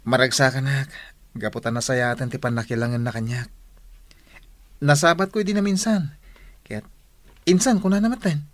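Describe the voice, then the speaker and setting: A man's voice: medium pitch, normal speed, pauses often, no room sound, natural voice. A man acting a casual young student, a concerned cousin, in a radio drama. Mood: concerned, musing. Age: young adult. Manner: casual, conversational.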